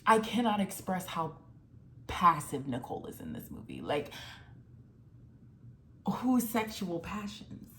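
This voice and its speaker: A woman's voice: lowish voice, huge pitch swings, a bit bright, a little hiss or hum. A woman in her twenties giving casual, emphatic movie commentary, full of filler words. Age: 20s. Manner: chatty and emphatic, full of "like", swings from fast to drawn out.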